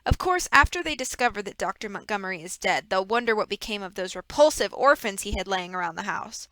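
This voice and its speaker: A woman's voice: mid-range voice, animated, fairly quick, pushed and bright, no echo. A woman voicing a scheming, sneering grown man who taunts and threatens in a snarling, sarcastic way. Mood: smug. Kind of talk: audiobook character reading.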